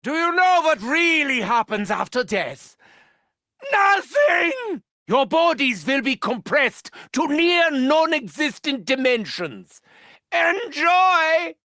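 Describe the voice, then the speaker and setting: An actor playing a gleefully sadistic German mad scientist villain in a video game, theatrical and grandiose, with a German accent and mocking, formal phrasing. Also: male voice, very high-pitched, big pitch swings, takes it slowly, sharp tone, dry recording, studio quality, heavily acted. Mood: manic, gleeful. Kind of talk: video game character voice lines.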